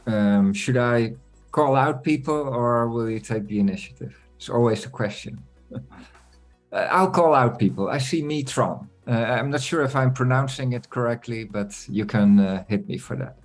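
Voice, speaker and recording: A man hosting an online community meeting, talking in a relaxed, chatty way with many fillers. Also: medium pitch, moderate pace, soft background music. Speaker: meeting host.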